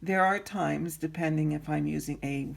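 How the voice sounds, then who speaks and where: A woman with a raspy voice teaching needlework in an easygoing tutorial, pausing often with ums and clearing her throat. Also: sits low. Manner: slow, unhurried, frequent ums and throat clearing. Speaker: embroidery teacher. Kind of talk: instructional craft tutorial.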